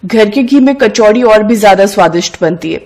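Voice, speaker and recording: A woman acts out a young village street-food seller for a cartoon moral story. She is humble and honest, speaking politely and earnestly at a middle pitch. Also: lively intonation, fairly quick, a little mellow. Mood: friendly.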